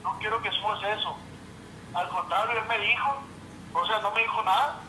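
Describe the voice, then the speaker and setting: A man's voice: high-pitched, moderate pace, bright, forceful tone, echoey room, a little hiss or hum. A man on a phone call, defensively explaining himself. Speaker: prank target on the phone.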